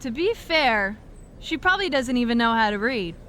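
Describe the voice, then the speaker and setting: A woman's voice: on the high side, animated, normal speed, a little hiss or hum. An actress playing a boastful, bratty woman wrestling champion in a video game cutscene, smug and mocking. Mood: taunting. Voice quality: bright, taunting.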